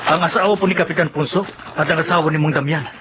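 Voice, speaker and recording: An actor playing an earnest, resolute young village son in a Filipino radio drama. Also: male voice, on the high side, fairly even intonation, fast, heavy background noise, close to an everyday voice.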